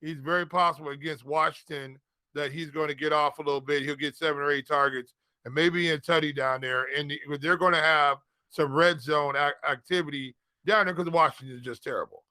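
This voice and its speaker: A man's voice: on the high side, steady tone, a little fast, pushed and bright, smooth, no echo. A man co-hosting a casual sports chat, giving picks in informal, slangy talk and repeating phrases for emphasis. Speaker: fantasy football podcast co-host.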